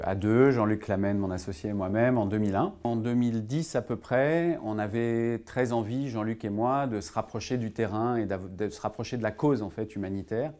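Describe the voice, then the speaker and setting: A man in his forties talks as a company co-founder giving a testimonial. His voice is fairly low and soft-edged, with a warm, relaxed tone, and his phrasing is informal. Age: 40s.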